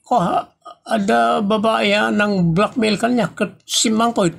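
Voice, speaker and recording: A man with a Filipino accent playing an evasive, flustered husband in an audio comedy drama, with a husky, gravelly voice. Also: high voice, fairly even intonation, takes it slowly, background noise, full character voice.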